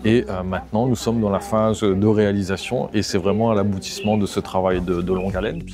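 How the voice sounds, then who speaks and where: A man's voice: fairly low pitch, steady tone, a little fast, music in the background. A man speaking as a local official, giving a statement to the press.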